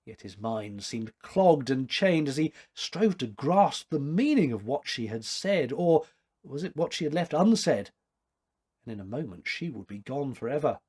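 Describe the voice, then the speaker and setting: A man in his fifties reading a literary story aloud with expressive narration. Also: medium pitch, unhurried, breathy edge. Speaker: story reader. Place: small domestic room indoors.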